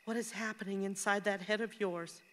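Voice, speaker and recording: Radio play: woman as tender, straight-talking mom, medium pitch, big echoing room. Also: fairly even intonation, brisk, fairly bright tone, close to an everyday voice. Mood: tender, concerned. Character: tender, protective, frank mother of grown son. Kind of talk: conversational stage-style radio drama acting. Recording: reverberant hall-like room.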